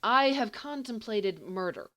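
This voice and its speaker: A woman's voice: fairly high pitch, animated, sharp tone, voice pushed up. Audiobook role: dry-witted bookish teenage boy voiced by a woman, exasperated, grumbling, with very varied intonation. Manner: grumbling, sardonic, halting when flustered.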